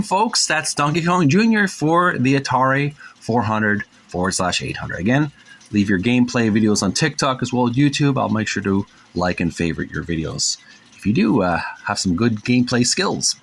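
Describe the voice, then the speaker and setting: A man's voice: medium pitch, fairly bright tone, slight background noise. A man hosting a casual show-and-tell in a folksy, rambling, hesitant way, sometimes reading captions aloud. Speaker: host of a retro gaming channel.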